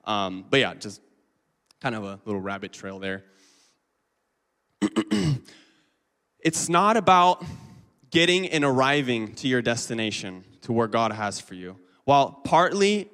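A man's voice: mid-range voice, fairly bright tone. A man in his twenties giving a casual, chatty sermon full of fillers and asides. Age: 20s. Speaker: lay preacher. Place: church hall. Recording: reverberant hall.

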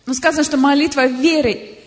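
A woman's voice: high voice, fairly even intonation, fairly quick. A woman interpreting a sermon phrase by phrase, following the preacher closely. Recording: long hall reverb, faint noise.

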